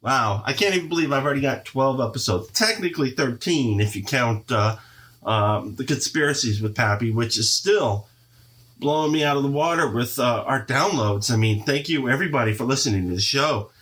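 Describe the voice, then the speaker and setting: A stuffy-nosed man talking alone on his podcast, his voice sitting at an ordinary middle pitch. He rambles casually with plenty of fillers and playful bragging. The congestion stays noticeable throughout. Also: fairly quick, a bit bright. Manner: chatty, playfully boastful, many fillers. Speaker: podcast host. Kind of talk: casual solo podcast monologue.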